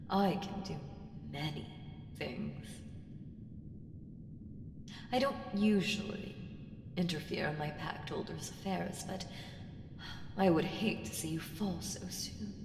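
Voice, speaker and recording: A woman playing an ancient evil entity in an ASMR audio roleplay, coaxing and condescending, commanding and seductive, with deliberate delivery that slips into whispers. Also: on the low side. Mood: smug, controlling. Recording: roomy long reverb with clear background noise.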